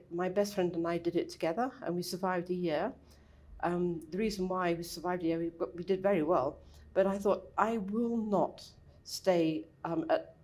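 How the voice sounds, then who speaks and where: An older woman with a southern English accent speaks in a lowish, relaxed voice. She tells her story as a podcast guest, matter-of-fact and easy, with quick yeps and small restarts. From England. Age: 60s. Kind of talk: casual interview.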